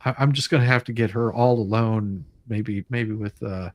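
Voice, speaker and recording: A man's voice: on the low side, fairly even intonation, normal speed, no echo. A man hosting a casual livestream, chatting in an informal, rambling way. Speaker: livestream host.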